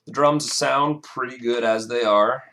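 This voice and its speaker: A man with a raspy voice casually walking through his song mix, talking in halting bursts with lots of fillers and restarts. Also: mid-range voice, fairly even intonation, bright, forceful tone, slight background noise. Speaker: music producer. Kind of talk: casual screen-recorded mixing walkthrough.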